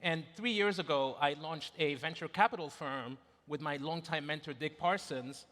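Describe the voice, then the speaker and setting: A man speaking as a venture investor on a panel, in a deliberate, reflective manner. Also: a little high, a bit bright. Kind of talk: panel discussion. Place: large hotel conference hall. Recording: reverberant conference hall.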